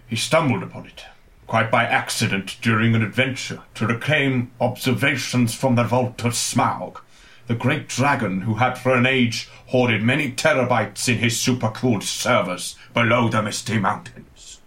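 A man's voice: medium pitch, normal speed, a bit bright, close to an everyday voice. A man playing a kindly, rambling old wizard who speaks in wordy, portentous storytelling for an amateur comic pantomime. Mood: grave.

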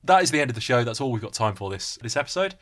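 A man's voice: medium pitch, big pitch swings, racing, fairly bright tone. A man co-hosting a podcast in a casual, chatty way. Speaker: podcast co-host. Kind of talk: casual banter.

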